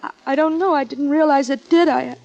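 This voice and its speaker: A woman's voice: high voice, steady tone, a little fast, gravelly, darkish tone. An actress playing a frightened, dazed young woman who answers police questions hesitantly, stammering, in 1950s radio drama style. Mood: shaken, evasive. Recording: muffled vintage radio broadcast, music.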